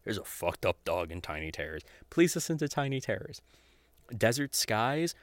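Low-voiced man, casual podcast host. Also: big pitch swings, moderate pace, no room sound. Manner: informal, enthusiastic, sweary; often chuckles through speech. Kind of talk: casual rambling monologue recommending audio dramas.

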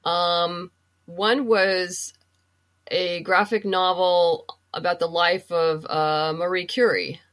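A woman's voice: lowish voice, steady tone, slow, a bit bright. A woman being interviewed as a podcast guest, explaining things thoughtfully in a casual, conversational way.

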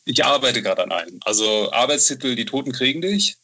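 A man talking casually as an interview guest, telling stories haltingly, searching for words and adding frequent tag questions. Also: mid-range voice, lively intonation, pushed and bright, muffled recording. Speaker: novelist and TV writer, interview guest. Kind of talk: casual interview chat, storytelling.

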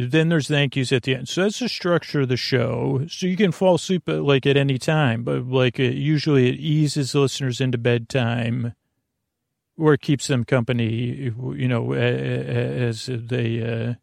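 A man with a creaky, gravelly, mid-pitched voice hosts a bedtime podcast in a close, dry recording. He wanders through his sentences, doubling back and trailing off, in a soothing and reassuring manner. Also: a little mellow, dry recording. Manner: meandering, halting, repeats and trails off mid-thought. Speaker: podcast host. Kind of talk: rambling bedtime sleep podcast intro.

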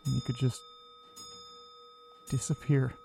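An actor playing an anxious, lonely, self-doubting man who talks haltingly to himself in a horror audio drama. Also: mid-range voice, little pitch movement, vocal fry, warm tone, slight background noise. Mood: brooding, dejected. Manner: halting, muttering, talking to himself. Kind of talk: audio drama monologue, naturalistic acting.

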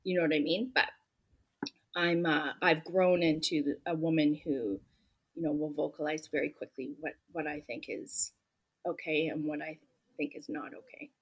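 Woman, author guest, husky, low pitch, emphatic, filler-heavy. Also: fairly even intonation, normal speed. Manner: earnest, emphatic, reflective, many "you know" fillers. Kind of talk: podcast interview answers.

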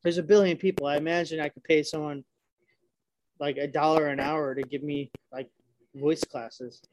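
Laughing young man, slightly high voice. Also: fairly even intonation, normal speed, darkish tone, no echo, rough recording. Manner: rambling, laughs often, swears freely. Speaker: podcast co-host. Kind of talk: casual chat.

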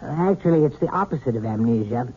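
A measured hypnotherapist in an old radio play. His voice is husky and mid-pitched, polite and deliberate, heard through a thin, phone-like broadcast sound. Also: animated, darkish tone. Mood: measured, explanatory. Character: calm, measured male hypnotherapist doctor. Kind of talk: 1970s American radio drama acting. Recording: tinny, band-limited old radio broadcast.

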